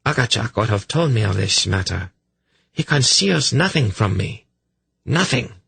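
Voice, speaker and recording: Man voicing a cruel, husky, imperious priestess. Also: fairly low pitch, lively intonation, a little slow, a bit bright, no echo, pitched above the performer's own voice, full character voice. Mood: suspicious, threatening. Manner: cold, menacing, sarcastic questioning. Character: cruel, imperious, suspicious scarred high priestess. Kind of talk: fantasy audiobook character acting.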